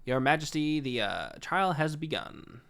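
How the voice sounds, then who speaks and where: A man voicing an officious royal court herald in a formal, loud, proclaiming manner. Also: a little high, expressive, a little slow, clear, resonant tone, voice pushed up.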